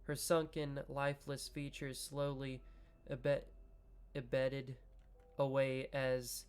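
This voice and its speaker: A man reading a visual novel aloud in a slow, measured way, breaking off into joking asides about his choices. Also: mid-range voice, flat delivery, clean tone. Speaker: gaming video creator.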